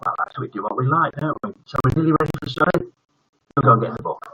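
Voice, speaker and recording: A man's voice: a little high, animated, quick pace, pushed and bright, breathy edge. An older man hosts a casual livestream for children, chatting to viewers in a jokey, rambling way that often trails off. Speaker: host of a children's bedtime story livestream. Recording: dull lo-fi livestream audio.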